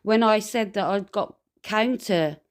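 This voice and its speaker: A woman's voice: medium pitch, a little slow. British woman earnestly explaining a grievance. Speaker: complainant on a call. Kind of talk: conversational account of a grievance. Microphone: phone call.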